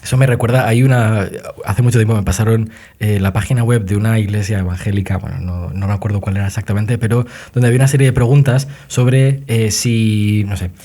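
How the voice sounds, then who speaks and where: A man hosting a casual conversation, teasing his co-host with quick interjections and frequent laughs. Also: on the low side, fairly even intonation, warm tone. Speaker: podcast host.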